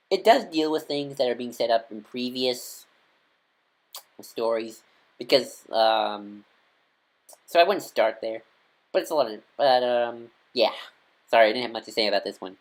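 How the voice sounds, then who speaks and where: A man's voice: mid-range voice, expressive. Hesitant, rambling man, casual fan vlog. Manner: hesitant, rambling, halting and self-correcting. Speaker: vlogger reviewing audio dramas. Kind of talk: casual unscripted fan recommendation talk.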